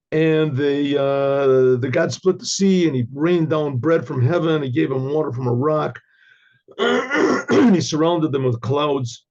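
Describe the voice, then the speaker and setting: An older hoarse man, a rabbi, giving a Torah class with halting restarts and frequent throat clearing. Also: on the high side, animated, a little mellow, lo-fi sound.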